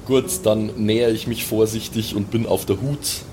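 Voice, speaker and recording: Male role-play player, on the low side, relaxed improvising, audible rumbling noise. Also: moderate pace. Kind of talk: casual improvised in-character play and table talk.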